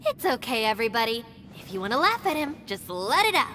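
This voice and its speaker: A woman's voice: on the high side, big pitch swings, fast, pushed and bright, in a large hall, faint music behind. A woman playing a dry, sensible young deputy in a video game, wry and matter-of-fact as she teases her boss. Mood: teasing.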